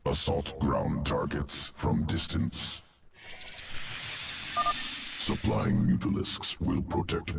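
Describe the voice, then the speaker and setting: A man voicing a cold, clinical alien creature in a video game, gravelly, speaking in clipped, detached, analytical fragments. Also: very deep voice, a little hiss or hum, heavily acted. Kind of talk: video game character dialogue.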